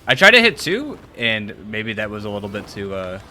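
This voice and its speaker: A man's voice: fairly low pitch, wildly swooping pitch, moderate pace, bright, forceful tone, a little hiss or hum. A man in his thirties chatting casually as he streams a game, informal and slangy with free swearing. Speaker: streamer playing an online raid game. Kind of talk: casual gaming chat and commentary. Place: small room at home. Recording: game music behind the voice.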